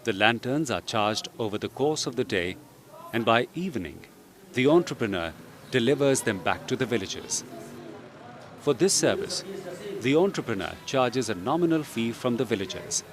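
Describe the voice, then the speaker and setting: A man reading scripted instructional narration in a measured, explanatory way. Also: medium pitch, lively intonation, crowd noise. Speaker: training film narrator. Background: background music.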